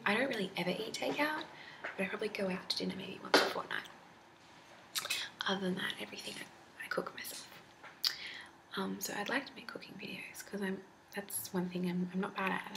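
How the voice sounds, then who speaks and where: A woman with an Australian accent whispering softly into a close mic for an ASMR talking video, rambling with frequent hesitant ums. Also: medium pitch, vocal fry. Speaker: ASMR creator. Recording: steady background noise.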